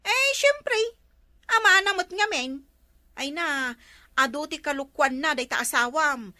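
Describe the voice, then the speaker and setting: A woman's voice: sits high, lively intonation, measured pace, pushed and bright, no echo, studio quality, heavily acted. A woman with an Ilocano accent acting a gossipy, meddling older sister figure in a comedy drama. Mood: urgent gossip.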